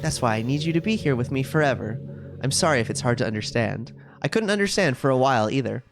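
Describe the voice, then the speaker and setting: A man's voice: medium pitch, animated, fast talker, lightly acted. A man voicing a sweet, possessive, self-aware schoolgirl from a video game in a chatty, cutesy, confiding way. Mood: lonely pleading. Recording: music playing behind the voice. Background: game music.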